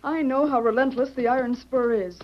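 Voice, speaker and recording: A woman's voice: sits high, fairly even intonation, fairly quick, lightly acted. A woman playing an earnest, worried secretary in an old-time radio western, speaking urgently and precisely. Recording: muffled, hissy vintage radio recording.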